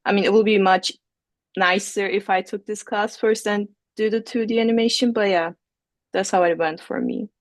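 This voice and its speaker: A woman's voice: medium pitch, fairly even intonation, moderate pace, no echo. A young woman from Turkey introducing herself as a student on a video call, plain and a little unsure. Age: young adult. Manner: plain, brief, slightly unsure. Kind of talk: self-introduction in class.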